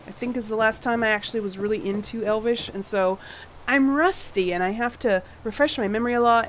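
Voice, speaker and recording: A woman talks casually over a home mic as she builds in a game. She sounds a touch husky from a fading cold and tired from the late hour. She chats, apologizes and laughs often, at an ordinary mid pitch, with a faint hiss behind her. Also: a bit bright. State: tired, yawning late at night. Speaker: gaming channel host.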